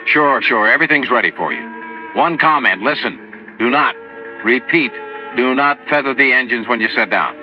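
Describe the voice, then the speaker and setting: An American man playing an earnest, concerned ground controller in a 1950s radio drama, speaking deliberately and haltingly. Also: medium pitch, soft background music, close to an everyday voice. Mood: grave, concerned. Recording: dull old radio broadcast recording.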